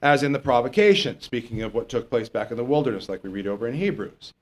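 A man with a lower voice, a pastor teaching verse by verse in a conversational way and reading scripture slowly and with emphasis. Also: animated. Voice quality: lower voice, not strong. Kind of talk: verse-by-verse Bible teaching sermon.

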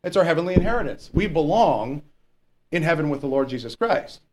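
A man with a lower voice, a pastor teaching verse by verse in a conversational way and reading scripture slowly and with emphasis. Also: animated, darkish tone, a little hiss or hum, rough recording. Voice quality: lower voice, not strong. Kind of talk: verse-by-verse Bible teaching sermon.

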